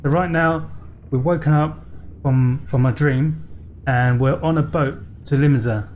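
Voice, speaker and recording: A man's voice: on the high side, measured pace, a little mellow, a little hiss or hum. A man giving casual let's play commentary, explaining what he is doing as he plays. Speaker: game streamer.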